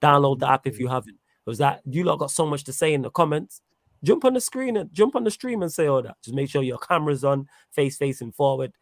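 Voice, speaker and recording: A man's voice: a little high, sing-song, brisk, no room sound, clean studio sound. A man hosting a casual live football fan chat, full of slangy banter. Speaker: host of a football fan show.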